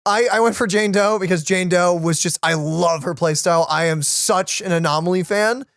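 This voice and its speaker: A young adult hosting a reaction video with excitable casual commentary full of gamer slang. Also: mid-range voice, normal speed, dry recording, studio quality.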